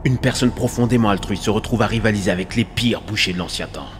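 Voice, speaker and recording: A man voicing a grave, weary spaceship crew leader in a dramatic animated film dub, speaking in a measured, ominous way. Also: on the low side, slight background noise, natural voice. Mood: ominous, serious.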